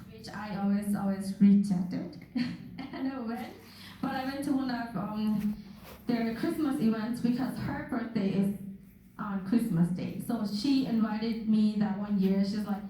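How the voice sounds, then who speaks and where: A young woman from Thailand giving a personal testimony with slides, speaking slowly and earnestly with soft laughs, recorded from far off in a church hall. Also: fairly high pitch. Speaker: guest speaker. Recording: echoey hall from far off, faint noise.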